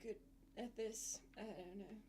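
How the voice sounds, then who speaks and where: A woman's voice: medium pitch, steady tone, measured pace, background noise. A woman streamer giving casual gameplay commentary, chatty and muttering asides to herself.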